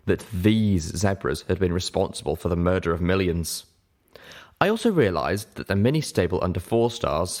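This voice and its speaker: Very deep-voiced man narrating a story. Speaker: audiobook narrator. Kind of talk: scripted story narration in first person.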